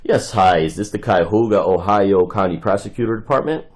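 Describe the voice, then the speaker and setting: Male caller, very low voice, insistent and wordy. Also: fairly quick. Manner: insistent, long-winded, formal-sounding. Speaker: caller making records requests. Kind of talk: recorded phone calls and commentary.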